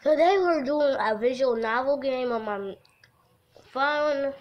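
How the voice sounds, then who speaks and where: A woman's voice: high-pitched, slow pace, muffled recording. A giggly woman hosting a casual game livestream, chatting and reading aloud from a visual novel. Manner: giggly, breaks off into laughter. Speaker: livestream host.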